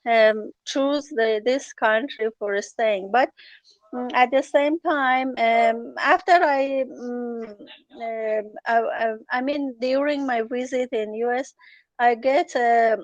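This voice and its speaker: Slow, hesitant woman, Farsi native speaker. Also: a little high, dry recording, highs cut off. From Iran. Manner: hesitant, many fillers and restarts. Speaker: guest, journalist and travel writer. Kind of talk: interview answers.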